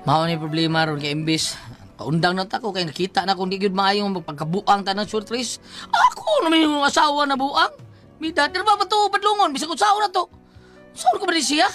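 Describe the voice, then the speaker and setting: A woman's voice: fairly high pitch, big pitch swings, a little fast, a bit bright, faint music behind. A woman playing an exasperated, worried wife in a Cebuano radio drama, speaking plaintively with emotional exclamations. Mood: exasperated, pleading. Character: exasperated, worried wife of a lottery-crazed husband.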